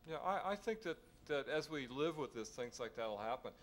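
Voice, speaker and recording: A middle-aged man talks conversationally on a discussion panel and readily pushes back on others. His voice has a mid-range pitch. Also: very animated, brisk, a little hiss or hum. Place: hotel conference room. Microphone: table mic on a stand.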